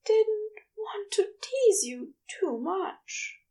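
A woman voicing a tender male lover in an audiobook, soft and breathy, murmuring and groaning as he pleads. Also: extremely high pitch, very animated, very slow, pitched above the performer's own voice, heavily acted.

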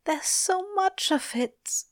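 An innocent, anguished male angel from a storybook, performed by a woman. The voice sits high and plaintive, drawing words out slowly in pleading tones. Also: sing-song, no room sound, full character voice. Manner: moaning, drawn-out pleading.

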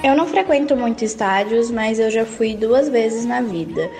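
Young woman, eighteen, football fan, documentary testimony, fairly high voice, soft music behind. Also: normal speed.

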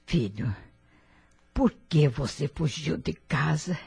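Ailing old mother, gravelly and dark-toned, slow and pleading, radio drama. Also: sits low, lively intonation, soft background music, full character voice. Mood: tender. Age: older. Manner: soft, imploring.

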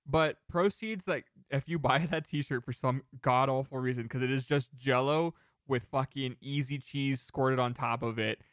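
A man co-hosting a casual podcast, telling rambling, animated anecdotes with some casual swearing. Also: mid-range voice, moderate pace, dry recording, clean studio sound. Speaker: podcast co-host.

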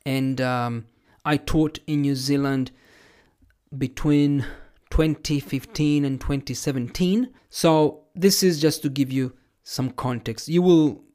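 A man in his thirties, a native Italian speaker, hosting a podcast and telling a personal story in a relaxed, measured way. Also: medium pitch. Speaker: podcast host and English teacher.

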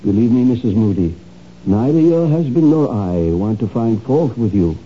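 An actor playing a calm psychiatrist questioning a patient in a 1970s radio drama, soothing and measured. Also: male voice, on the low side, a little hiss or hum, natural voice. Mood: probing. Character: calm, probing male psychiatrist. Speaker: doctor. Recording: dull old radio broadcast.

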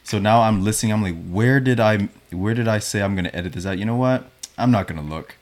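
A man hosting a podcast, chatting casually in a relaxed, self-deprecating, soft-spoken way. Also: on the low side, fast. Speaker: podcast host. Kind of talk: casual chat.

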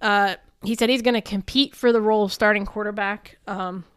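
A woman's voice: mid-range voice, steady tone, fairly quick, clear, resonant tone, no echo, studio quality. A woman co-hosting a sports talk show, chatting casually and giving her opinions as she recaps the news.

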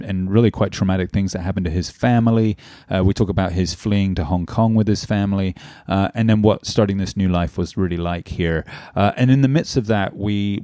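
Deep earnest male podcast host. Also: dark tone, no room sound, studio quality. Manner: earnest, heavy with "um" and "uh". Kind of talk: podcast intro and interview.

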